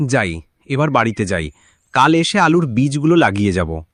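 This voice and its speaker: A man's voice: medium pitch, very animated, normal speed, dry recording. A man playing a poor, hopeful, devout village farmer in a cartoon, exclaiming in an earnest, folksy way. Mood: worried. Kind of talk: Bengali cartoon voice acting.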